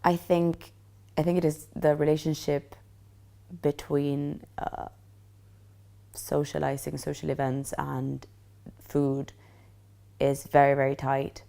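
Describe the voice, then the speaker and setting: A woman in her twenties from Argentina, answering interview questions in an earnest, steady way. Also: low voice, slow, vocal fry, pauses often. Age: 20s.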